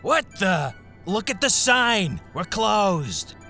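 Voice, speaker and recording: A man's voice: sits high, very animated, takes it slowly, bright, forceful tone, faint music behind, exaggerated voice. A man playing a greedy, scheming shopkeeper villain who gloats and mutters asides in an anime-style audio drama. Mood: startled, flustered.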